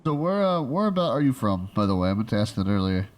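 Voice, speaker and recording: A man's voice: on the low side, very animated, fast talker, background noise. A man co-hosting a podcast who asks questions in an offhand way, full of fillers. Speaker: podcast co-host. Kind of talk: casual podcast chat.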